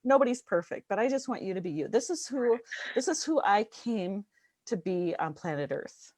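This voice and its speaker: A woman on a video call chatting casually about her spiritual work, laughing often and poking fun at herself, with a slightly raspy voice. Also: medium pitch, expressive, normal speed, a little mellow, no room sound. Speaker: guest, light language channeler. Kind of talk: casual chat.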